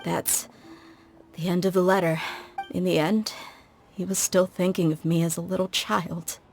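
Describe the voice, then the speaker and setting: Low-voiced blunt mercenary. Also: female voice, flat delivery, unhurried, a little mellow, faint music behind. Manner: dry, blunt, wry. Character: tough young desert mercenary woman.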